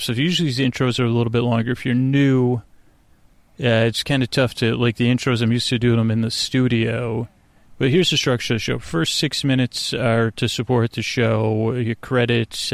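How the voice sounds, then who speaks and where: A man hosting a bedtime podcast in an improvised, meandering monologue, hesitant and halting, full of false starts and digressions. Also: mid-range voice, gravelly, no room sound. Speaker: podcast host. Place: outdoors at night.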